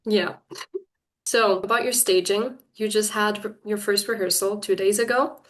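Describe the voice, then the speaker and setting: A woman's voice: medium pitch, fairly even intonation, normal speed. A young woman interviewing a music artist, enthusiastic and chatty like a fan.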